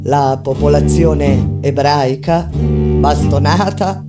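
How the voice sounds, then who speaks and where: A woman delivers dramatic theatrical narration in a solemn, deliberate manner, with weighty pauses. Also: deep voice. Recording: voice over loud plucked guitar music. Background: loud acoustic guitar music.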